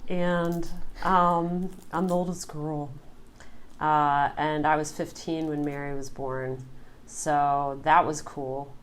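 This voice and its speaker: A woman, co-hosting a podcast in a relaxed way with frequent pauses. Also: low voice, a little hiss or hum. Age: 40s. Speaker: podcast co-host. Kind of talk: casual chat.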